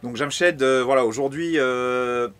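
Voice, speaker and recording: A man's voice: mid-range voice, unhurried, fairly bright tone, smooth. Encouraging male interviewer, loose and chatty. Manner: friendly and encouraging, full of fillers. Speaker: host interviewer. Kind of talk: casual interview.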